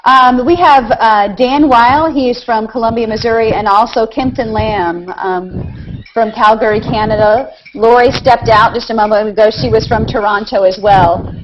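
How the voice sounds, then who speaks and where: A woman's voice: on the high side, moderate pace. A woman hosting a live video hangout, casually asking questions and relaying comments from viewers. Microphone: video call. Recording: dull, noisy internet video call.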